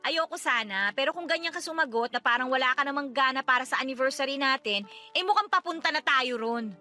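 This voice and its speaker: Bright, high-pitched chatty Filipino wife. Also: female voice, brisk, soft background music. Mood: annoyed, questioning. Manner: chatty, colloquial, nagging. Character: talkative wife in her thirties, eager, stubbornly in denial. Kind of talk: Filipino radio drama acting.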